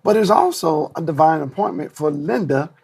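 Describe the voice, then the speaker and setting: A man's voice: on the high side, animated, normal speed, vocal fry, a little mellow, slightly rough. A middle-aged man co-hosting a talk show in a casual, bantering conversation.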